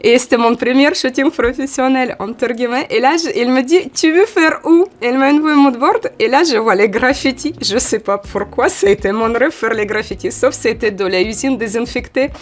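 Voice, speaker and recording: Une femme d'une quarantaine d'années, d'origine ukrainienne, avec un ukrainien, qui répond à une interview sur un ton décontracté et hésitant. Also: female voice, fairly high pitch, moderate pace, hardly any pauses. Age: 40s. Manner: hesitant, many "euh" fillers, lively when acting out quotes. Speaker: model, interview guest. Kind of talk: casual interview answers.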